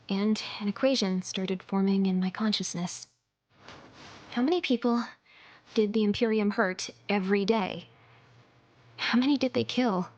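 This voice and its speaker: A woman's voice: on the high side, lightly acted. An actress voicing a young hacker revolutionary in a video game cutscene, confiding and dryly sardonic, with swearing in her speech.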